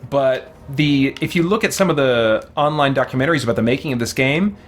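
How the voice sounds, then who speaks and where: A man's voice: mid-range voice, brisk. A man giving casual gameplay commentary as a streamer, rambling and enthusiastic as he thinks aloud. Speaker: game streamer.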